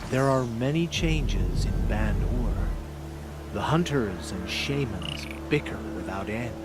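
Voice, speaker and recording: Slow, contemplative shaman. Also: fairly low pitch, lively intonation, loud music behind the voice. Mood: serene, reflective. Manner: measured, philosophical. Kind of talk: video game voice acting. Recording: loud ambient noise behind voice.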